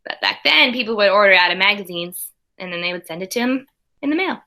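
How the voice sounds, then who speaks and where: A woman's voice: medium pitch, expressive, fast, noisy background. A woman teaching young children, reading a storybook aloud expressively and chatting to them in conversational asides. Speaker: early primary school teacher.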